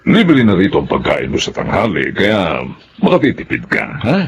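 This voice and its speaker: A dull recording with a gruff but fair Filipino boss. His voice is very deep and his intonation swings wildly. He gives cautions with authority, in radio drama style. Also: huge pitch swings, moderate pace, heavily acted. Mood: cautioning. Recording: dull lo-fi, faint ambient noise.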